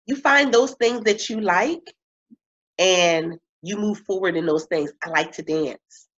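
A woman in her thirties, a coach and speaker, chatting in an interview over a video call. She talks in an animated way, laughs often and stretches words for emphasis. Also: on the low side, unhurried. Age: 30s. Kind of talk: casual interview chat. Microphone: webcam on video call. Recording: slightly muffled video-call audio.

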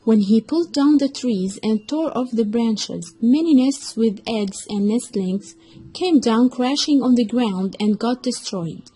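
High-pitched husky woman storyteller. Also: unhurried, mellow, low-quality recording. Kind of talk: scripted children's story narration.

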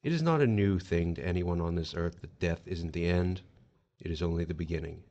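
An actor playing a calm, persuasive afterlife agent in an audio drama, measured, formal and reassuring. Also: male voice, low voice, lo-fi sound.

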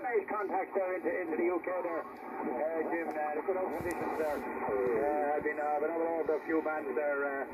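A man's voice: a little high, fairly even intonation, a little fast. A man with an Irish accent chatting casually as an amateur operator, heard through a single-sideband radio transmission. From Ireland. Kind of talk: casual two-way radio chat. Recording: muffled, very noisy shortwave radio reception. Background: loud static and interference.

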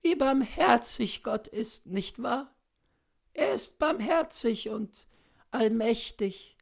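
A man voicing a pious, self-pitying dying gentlewoman in a story reading, plaintive and dramatically fretful. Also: very high voice, takes it slowly, gravelly, voice pushed up, exaggerated voice. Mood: blissful. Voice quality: weak, plaintive. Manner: fervent, dramatic lamenting.

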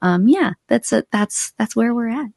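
A woman around her thirties or forties, a coach, speaking casually and a little haltingly as an interview guest on a close microphone. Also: on the high side, expressive, creaky, darkish tone, no room sound. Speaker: podcast guest, accountability coach. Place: small indoor room, video call. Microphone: close desk microphone.

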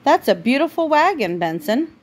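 A woman's voice: high-pitched, big pitch swings, normal speed, pitched above the performer's own voice. A woman voicing a grateful, admiring female squirrel in a storybook, read aloud politely.

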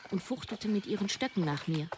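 A woman's voice: medium pitch, lively intonation, a little fast, noisy background, close to an everyday voice. An actress playing a self-doubting woman in her thirties, narrating her own story reflectively in a radio drama. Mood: recounting. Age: 30s. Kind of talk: scripted first-person narration. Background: music.

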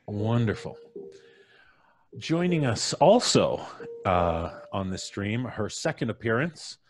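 Low-voiced North American man hosting. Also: very animated, slow. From Canada. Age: middle-aged. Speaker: podcast host. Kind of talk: live stream hosting, introducing guests. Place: small room, indoors. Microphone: studio microphone on boom arm, headphones.

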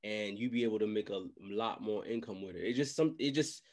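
A young man in a casual chat, giving advice in an animated, slangy way and often repeating phrases. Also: fairly low pitch, fairly quick. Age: young adult. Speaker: podcast host and music industry adviser. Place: small indoor room, video call. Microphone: desk microphone, close.

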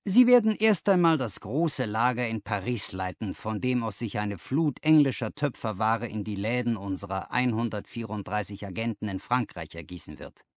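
A man voicing a brisk, patronising company boss in an audiobook, businesslike and used to giving orders. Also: fairly low pitch, lively intonation, no room sound, clean studio sound, close to an everyday voice. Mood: brisk, commanding. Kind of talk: audiobook character dialogue.

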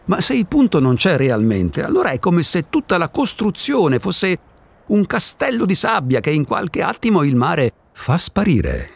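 An actor in a radio drama playing an eccentric, disillusioned male recluse who muses philosophically with theatrical rhetorical questions, in a husky voice. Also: fairly high pitch, huge pitch swings, a little slow, dry recording, exaggerated voice. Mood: musing.

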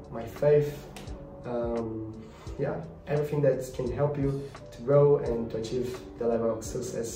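Young Portuguese-accented man vlogging. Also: medium pitch. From Brazil. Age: 20s. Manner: earnest, halting, searching for words. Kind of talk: casual vlog to camera. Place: small home office. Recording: roomy, a bit distant, music behind.

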